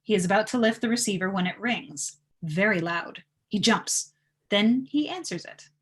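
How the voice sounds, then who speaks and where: A woman's voice: mid-range voice, expressive, moderate pace, breathy edge. A woman reading stage directions aloud as the narrator of a live table read.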